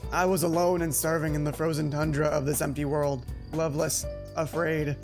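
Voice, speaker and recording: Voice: mid-range voice, flat delivery, moderate pace, music in the background, natural voice. A let's play reader voicing a sassy, melodramatic robot bird in a theatrical, haughty manner.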